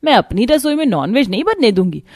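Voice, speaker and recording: Woman playing firm, high-voiced mother-in-law. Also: sing-song, fast talker, darkish tone, no echo, studio quality. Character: firm mother-in-law, bossy household matriarch. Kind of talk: cartoon family story dialogue. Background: background music.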